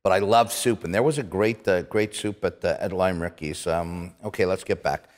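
A man, chatting casually and haltingly as he hosts an interview show. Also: low-pitched. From Canada. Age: 50s. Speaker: talk show host. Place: small indoor studio set, seated on couch. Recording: roomy studio with long reverb.

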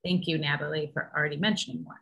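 Bright-voiced woman moderating a panel. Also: low-pitched, gravelly. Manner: brisk, reads audience questions. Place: large studio classroom. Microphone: video call webcam.

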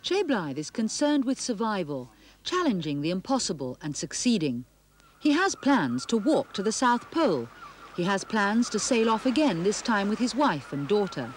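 A woman presenting a television program, reading measured narration and putting questions to a guest. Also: mid-range voice, big pitch swings. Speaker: host. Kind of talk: scripted documentary narration and studio interview. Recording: faint noise, 1970s television broadcast.

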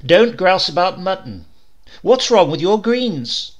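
A man voicing a brash male advertising executive who pitches loud, emphatic slogans. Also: big pitch swings, slow pace, heavily acted.

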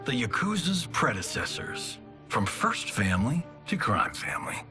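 A man's voice: mid-range voice, big pitch swings, moderate pace, close to an everyday voice. An actor playing a cocky, sarcastic male movie star in video game cutscenes, joking and laughing often. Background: music.

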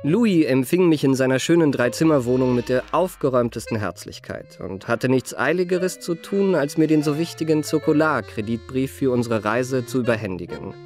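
A man's voice: medium pitch, expressive, moderate pace, hardly any pauses, darkish tone, faint music behind, lightly acted. An actor playing a suave, self-possessed young confidence trickster, narrating his memoirs in polished literary style for a radio play. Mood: polished, composed. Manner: polished, courteous, composed.

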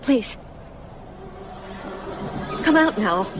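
A woman's voice: a little high, expressive, extremely slow, noisy background. An actress playing a frightened, nervous woman in a radio drama, pleading as she calls out. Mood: fearful. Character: frightened woman calling out to someone hidden.

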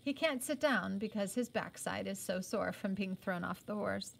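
A woman's voice: medium pitch, moderate pace, gravelly. A woman teacher reading a novel aloud expressively, adding explanatory asides.

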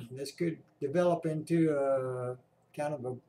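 A man giving casual explanatory commentary as a radio hobbyist, halting and often clearing his throat. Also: medium pitch, steady tone, mellow. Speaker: amateur radio operator, narrator. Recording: roomy echo, clear background noise.

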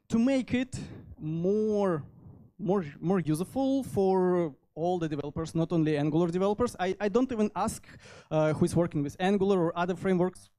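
A man in his thirties with a Russian accent giving an informal technical talk into a handheld microphone, halting often as he goes. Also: on the high side, warm tone. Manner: halting delivery with frequent hesitations. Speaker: conference speaker, software developer. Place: indoor meetup venue.

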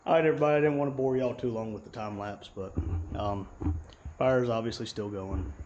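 A man's voice: medium pitch, fairly even intonation, a little fast, darkish tone, a little hiss or hum. Man, 30s, casual folksy vlogger; slightly muffled action-cam sound. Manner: easygoing, drawling, folksy. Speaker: channel host. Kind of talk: casual walkthrough vlog. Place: large garage service bay. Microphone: action camera.

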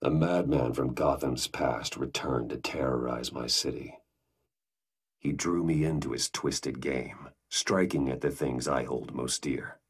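An actor playing a brooding, stern masked vigilante in a video game, speaking grimly and tersely in a gravelly, husky voice. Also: male voice, very deep voice, steady tone, measured pace, distant mic, exaggerated voice. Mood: somber, brooding. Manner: grim, terse. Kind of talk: dramatic video game acting.

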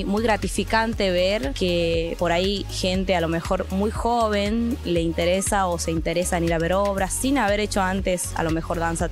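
A woman in her twenties with an Argentine accent, a student dancer answering earnestly in an interview. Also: lowish voice. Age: 20s. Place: theatre stage with curtains. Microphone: handheld reporter microphone. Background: music.

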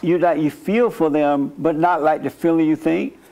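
Blunt preaching man, higher-pitched. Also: fairly even intonation, mellow. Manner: blunt, preachy, quick asides and mock-prayer voices. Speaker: pastor. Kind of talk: sermon-style Q&A with audience. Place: dark studio set, seated by small table.

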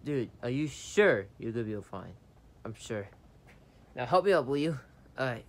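A man's voice: mid-range voice, sing-song. A man voicing plush-toy characters in plain, everyday conversation. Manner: slow, plain conversational delivery. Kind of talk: amateur plush-toy drama dialogue.

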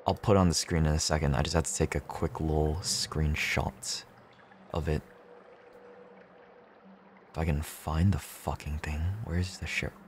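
A man's voice: extremely low pitch, steady tone, normal speed, long pauses, slight background noise. A young man chatting casually on a gameplay livestream, slangy, sweary and laid-back. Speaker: streamer.

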